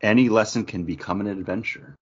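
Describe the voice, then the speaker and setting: A man's voice: on the low side, a little fast, slightly rough. A man hosting a casual trivia quiz, chatting and reading out questions on a lo-fi online call. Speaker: podcast host running a trivia quiz. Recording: dull lo-fi voice call.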